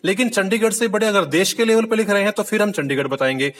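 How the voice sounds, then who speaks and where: Male teacher, slightly higher voice, patient step-by-step explainer who repeats key points and checks the viewer follows. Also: fast, fairly bright tone. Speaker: Hindi teacher. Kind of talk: classroom-style video lesson.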